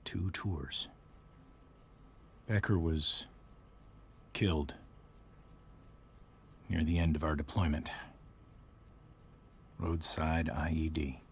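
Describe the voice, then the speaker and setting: Grieving ex-soldier stepdad, American accent, deep, earnest, awkward, measured, game cutscene, faint noise. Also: male voice, fairly even intonation, full character voice. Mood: somber reminiscing. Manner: halting, solemn, measured. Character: grieving army veteran stepfather, earnest and awkward. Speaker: video game character. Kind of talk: dramatic game cutscene acting.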